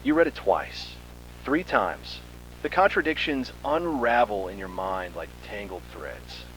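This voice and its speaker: Low-voiced man narrating horror, dramatically expressive. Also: unhurried, slight background noise, low-quality recording. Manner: dramatic, suspenseful storytelling. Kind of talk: scripted second-person horror narration.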